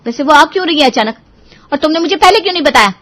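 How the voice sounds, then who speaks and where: A woman's voice: very high-pitched, a little fast, sharp tone, pitched above the performer's own voice. A woman voicing an angry, demanding young wife who scolds and complains in a dramatised audio story. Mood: flustered, complaining.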